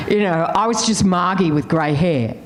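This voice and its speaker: A woman's voice: lowish voice, wildly swooping pitch, slow, a little hiss or hum. An older woman with an Australian accent, telling candid, laughing stories as a panelist. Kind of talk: casual storytelling on a panel.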